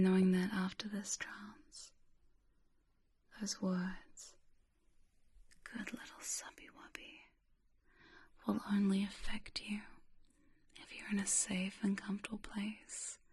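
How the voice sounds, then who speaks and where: A woman whispers right up close to the microphone in a slow, airy hush. Her voice stays flat and even, soothing and coaxing, with phrases repeated softly in an ASMR hypnosis style. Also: mid-range voice, slightly rough.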